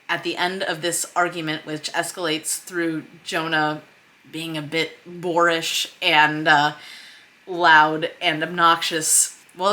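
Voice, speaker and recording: A woman vlogger talks the viewer through her work in a chatty, explanatory style. Her voice is bright and forceful, sitting a little lower than most women's. She leans on key words for emphasis. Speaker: host of a filmmaking vlog series. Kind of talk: explanatory vlog to camera with screen share.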